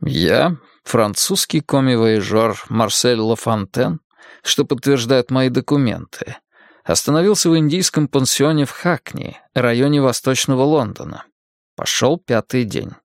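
A man reading a first-person novel aloud in a measured, quietly wry manner. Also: medium pitch, lively intonation, no echo, studio quality. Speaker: audiobook narrator. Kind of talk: first-person literary narration.

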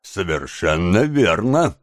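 Audiobook villain: male reader as crafty, vicious old crook, very drawn-out words, swooping pitch, close dry sound. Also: sing-song, no room sound, full character voice. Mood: scornful, spiteful. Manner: slow, drawn-out, theatrical delivery. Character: scheming old male gang boss, wheedling, vicious when crossed. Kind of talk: dramatised audiobook character.

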